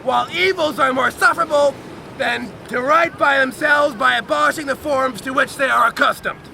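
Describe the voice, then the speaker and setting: A man giving a loud, dramatic, emphatic reading of a historical document outdoors, with deliberate phrasing. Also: very high-pitched, vocal fry. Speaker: reader at an outdoor public reading. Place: outdoors on a lakeside beach. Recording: outdoor crowd and motorboat noise.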